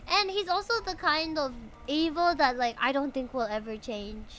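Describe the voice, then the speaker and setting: High-voiced woman streamer chatting casually. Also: moderate pace, a bit bright, a little hiss or hum. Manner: informal, slangy. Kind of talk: casual live game commentary and chat. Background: music.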